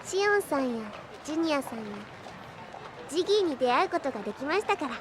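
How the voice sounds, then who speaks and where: A woman playing a gentle, polite young android girl, innocent and soft-spoken, in a Japanese anime audio drama. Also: sits high, animated, quick pace, music in the background. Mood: gentle wonder. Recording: busy crowd behind the voice.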